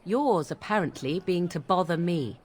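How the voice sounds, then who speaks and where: A woman's voice: fairly low pitch, lively intonation, moderate pace, crowd noise, lightly acted. An actress playing a cynical young witch of the wilds, formal, disdainful and drily sarcastic, in a fantasy game. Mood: scornful. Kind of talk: dramatic game dialogue.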